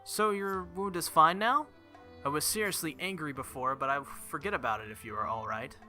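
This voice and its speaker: A man plays a young female knight from a visual novel, earnest and formal to the point of stiffness. The voice is bright and forceful, pitched up, with faint music underneath. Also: fairly quick. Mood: relieved, grateful. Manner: formal, stiff, uncontracted speech.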